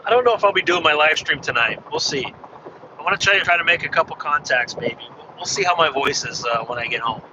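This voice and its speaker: Slightly hoarse man chatting while driving. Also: a little high, animated, fast talker. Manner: rambling, chatty, restarts. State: losing his voice. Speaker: ham radio vlogger. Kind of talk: casual chat while driving. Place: inside a car on a freeway. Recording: dull muffled car recording with road noise. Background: road and engine noise.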